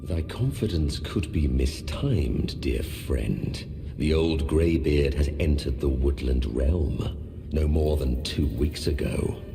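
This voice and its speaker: Dark herald: extremely low, creaking gravel, cold command, solemn archaic delivery, game villain in a reverberant hall. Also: male voice, expressive, slow pace, full character voice. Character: menacing, lordly male dark-lord's herald, coldly commanding. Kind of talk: video game villain acting. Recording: echoey hall reverb with music behind.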